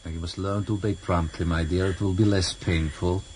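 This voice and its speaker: An actor playing a cultured, mockingly courteous older foreign interrogator, formal and deliberate, in a vintage radio drama. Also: male voice, low-pitched, fairly even intonation, a little mellow, lightly acted. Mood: menacing, polite. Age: middle-aged or older. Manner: formal, deliberate, mock-polite. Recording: slightly noisy vintage radio recording.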